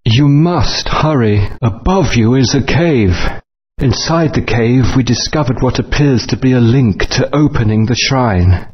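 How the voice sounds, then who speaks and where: A man voicing a calm, solemn dinosaur tribesman in a video game, measured and formal. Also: medium pitch, husky. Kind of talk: video game character acting. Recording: dull lo-fi game audio.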